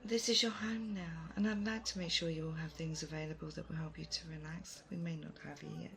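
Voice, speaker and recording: A woman playing a thoughtful, kind, self-doubting leader in an audio roleplay, soft-spoken, hesitant and gently earnest. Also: low voice, steady tone, fast, vocal fry. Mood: fond, thoughtful. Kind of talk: immersive audio roleplay addressed to the listener.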